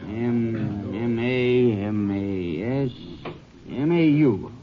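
An actor playing a helpful, unhurried small-town bank clerk, muttering methodically in a 1950s radio drama. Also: male voice, mid-range voice. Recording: dull, noisy old radio recording.

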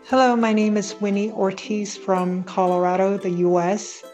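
A woman's voice: mid-range voice, level tone, normal speed, darkish tone, soft background music. A woman from Hong Kong, a native Cantonese speaker, leaving a friendly voice message with small hesitations. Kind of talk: casual voice message.

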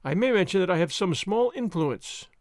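Young male aristocrat, acted by a man reading, thin and high, polite yet haughty, for an adventure storybook. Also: animated, normal speed, no room sound, clean studio sound. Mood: haughty warning. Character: languid, proud young gentleman aristocrat, aged twenty-four.

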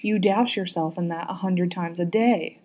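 A woman's voice: fairly low pitch, normal speed, warm tone, lightly acted. A woman voicing a wry, exasperated, teasing man in audiobook dialogue. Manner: wry, pleading, joking.

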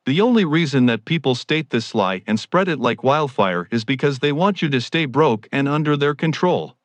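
A man's voice: mid-range voice, no echo. A man delivering a scripted motivational monologue in an emphatic, declarative, preaching way into a close microphone. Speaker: motivational speaker.

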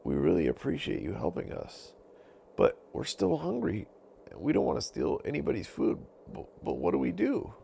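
A polite, downtrodden wolf from a bedtime story, acted out by a man. The voice is pushed up high and swings widely in pitch, earnest and pleading, with the manner of a grateful, hungry creature. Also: wildly swooping pitch, moderate pace, vocal fry, warm tone, a little hiss or hum, full character voice.

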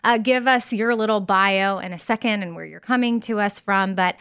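Podcast host: woman, smooth clear voice, upbeat and friendly interview chat. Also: medium pitch, normal speed, fairly bright tone.

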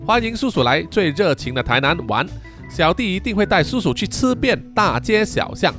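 Animated man hosting a casual podcast. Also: sits high, moderate pace, soft background music. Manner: chuckles often, frequent sentence-final particles. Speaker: podcast host. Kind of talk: casual podcast chat reading and answering listener comments.

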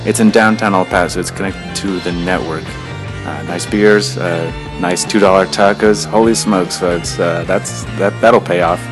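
Deep-voiced, rambling male podcast host. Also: fairly even intonation, normal speed, over music. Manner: rambling, filler-heavy, laid-back. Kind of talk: casual podcast intro.

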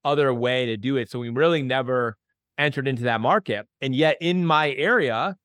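A man's voice: medium pitch, normal speed, fairly bright tone, dry recording. Upbeat male podcast host, dry studio. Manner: enthusiastic, conversational with many fillers. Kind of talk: interview podcast.

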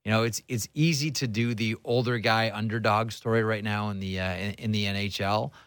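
A man's voice: lowish voice, fairly even intonation, a little fast, fairly bright tone, clean tone, no room sound. A man hosting a sports talk show, speaking casually and conversationally about hockey, with blunt asides.

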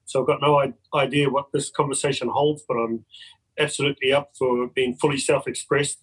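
A man with a New Zealand accent and a husky, slightly rough voice talks as a podcast guest. He is upbeat and heartfelt, laughing easily between thoughts. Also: mid-range voice, level tone, a little fast, rough recording. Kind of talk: casual conversational interview.

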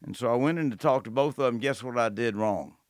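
A man hosting a casual chat, earnest and reflective, with a halting delivery. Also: medium pitch, dry recording, studio quality. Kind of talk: casual conversation.

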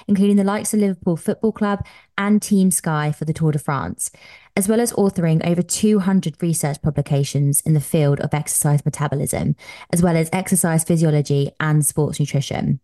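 A woman's voice: low-pitched, fairly quick, warm tone. A woman hosting a podcast, reading an upbeat scripted introduction and then chatting with her guest. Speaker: podcast host, dietitian.

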